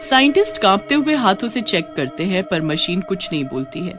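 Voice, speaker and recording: A woman's voice: medium pitch, very animated, moderate pace, fairly bright tone, faint music behind. A woman reading scripted horror story narration as the narrator.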